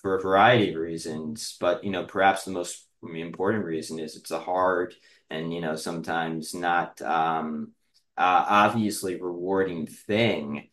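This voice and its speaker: A man hosting a casual podcast interview, feeling his way through questions in halting, roundabout phrases, with roomy sound. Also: deep voice, fairly even intonation, a bit bright. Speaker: podcast host.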